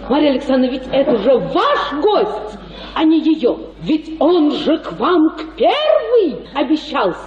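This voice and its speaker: An actress playing a boastful, spiteful colonel's wife in a radio play, gossiping in a breathless, scattered rush. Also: female voice, high voice, huge pitch swings, a little slow, echoey room, lo-fi sound, crowd noise, heavily acted. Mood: indignant. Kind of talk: radio drama acting.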